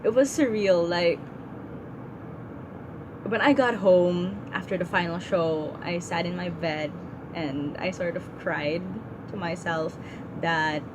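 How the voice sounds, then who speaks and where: A woman's voice: lowish voice, takes it slowly. Earnest young woman vlogging over background hum. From Philippines. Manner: earnest and heartfelt, with frequent ums and likes. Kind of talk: casual reflective vlog. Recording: noisy room with air conditioning hum.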